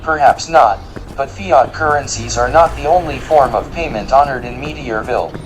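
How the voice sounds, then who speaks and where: A man playing a polite, literal-minded robot guide in an audio drama, speaking in a formal, precise and deadpan way. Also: medium pitch, normal speed, faint music behind, lightly acted, low-quality recording.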